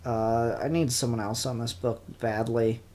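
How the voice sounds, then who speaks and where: A congested, stuffed-up voice drawls along at a slow, easy pace. This comic reviewer talks offhand and unscripted, full of ums and half-started sentences. The pitch sits in an ordinary middle range. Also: steady tone, darkish tone, slight background noise. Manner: unhurried and offhand, many ums and restarts. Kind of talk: casual spoken comic review.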